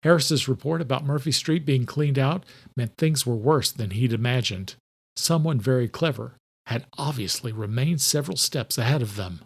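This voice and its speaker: A man's voice: medium pitch, moderate pace, creaky, slightly rough. A man reading scripted thriller fiction as a dramatic audiobook narrator.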